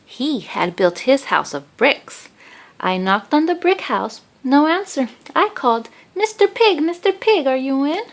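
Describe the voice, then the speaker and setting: A woman's voice: sits high, sing-song, unhurried, exaggerated voice. A woman reading a children's storybook as an indignant, self-pitying male wolf, in a conversational, mock-innocent manner. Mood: calling out. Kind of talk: children's storybook read-aloud.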